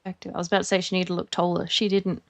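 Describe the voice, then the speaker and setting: A woman's voice: on the low side, little pitch movement, fast, gravelly. A young Australian woman chatting casually while streaming a game, rambling with lots of fillers.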